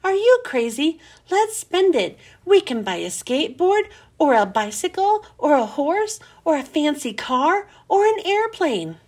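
A woman's voice: high voice, big pitch swings, a little slow, hardly any pauses, darkish tone. A woman voicing a greedy, pushy schoolgirl who coaxes and makes dramatic warnings in a storybook reading. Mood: scheming.

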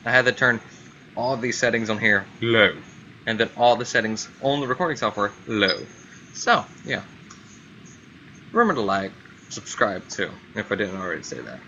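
A man with a bright, slightly low voice gives casual gameplay commentary. He reacts offhandedly as he plays, over faint noise and game ambience on a home recording. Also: expressive, normal speed, long pauses, highs cut off. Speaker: gaming video host.

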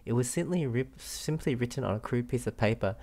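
A young man giving casual, relaxed playthrough commentary, musing aloud as he plays. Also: medium pitch, a little fast. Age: young adult. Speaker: game streamer. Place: small room indoors.

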